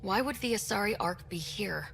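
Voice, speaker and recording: A woman's voice: mid-range voice, expressive, moderate pace, gravelly, fairly bright tone, soft background music. A woman playing a pragmatic, cautious young team leader in a video game. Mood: questioning, firm. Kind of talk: video game cutscene acting.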